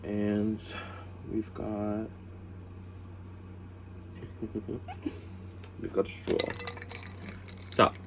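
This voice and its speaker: A man's voice: fairly low pitch, monotone, drawn-out words, long pauses, noisy background. A man with a cold, congested and sniffly, chatting casually and rambling through a storytime vlog with self-mocking asides. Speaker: vlog host.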